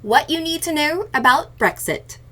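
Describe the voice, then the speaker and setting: Lively high-pitched woman reading news. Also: normal speed. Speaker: news podcast host reading headlines. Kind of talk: scripted news narration.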